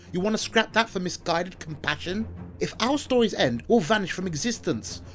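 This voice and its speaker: Audio drama hero gone bad, male actor: boastful, sneering, theatrical rhymes, pitched highish with big swoops. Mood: scornful, indignant. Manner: taunting, pompous, sneering, theatrical. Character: bullying, boastful fictional hero man turned on his author. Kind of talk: rhyming scripted audio drama.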